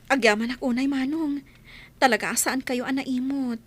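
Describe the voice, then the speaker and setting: A woman's voice: high-pitched, fairly even intonation, normal speed. A woman with an Ilocano accent playing a humble, grateful, hard-up village neighbor in a radio drama.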